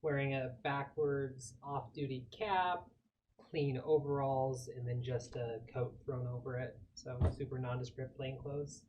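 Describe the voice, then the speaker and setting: A woman's voice: rumbling low, steady tone, measured pace, darkish tone. Woman roleplaying at a table, dull noisy recording. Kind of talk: casual in-character table talk. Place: casual group gaming session.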